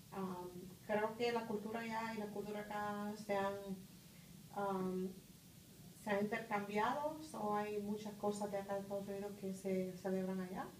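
A middle-aged woman, answering interview questions in an office conference room, pausing often with fillers. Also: medium pitch, a little mellow. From Puerto Rico. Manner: thoughtful, pauses with many "ah" and "este" fillers. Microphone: far phone camera. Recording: roomy, distant, faint hiss.

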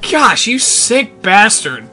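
Excitable, high-voiced man commentating a game. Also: takes it slowly, faint music behind. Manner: excitable, jokey, prone to exclaiming. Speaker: co-host of a video game show. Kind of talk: casual, joking gameplay commentary.

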